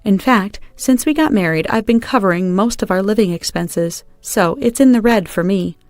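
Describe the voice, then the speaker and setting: A woman's voice: medium pitch, moderate pace, darkish tone, no echo, lightly acted. A woman voicing a firm, unforgiving wronged wife in a narrated story, stern and decisive.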